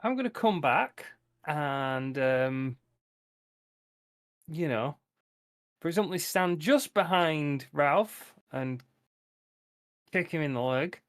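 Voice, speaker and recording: Higher-pitched man playing a tabletop game. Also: expressive, takes it slowly, long pauses, fairly bright tone, no echo, clean studio sound. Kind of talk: casual roleplay chat.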